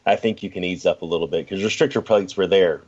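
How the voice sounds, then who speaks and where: A man's voice: low voice, quick pace, darkish tone, slightly rough. A man co-hosting a sports podcast, chatting casually in an easygoing, slightly hesitant way. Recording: dull, lo-fi audio.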